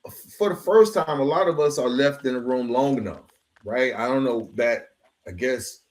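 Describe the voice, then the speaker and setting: Male podcast host, mid-pitched voice, reflective interview style, street slang, motivational phrasing. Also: moderate pace, darkish tone. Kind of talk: reflective long-form interview.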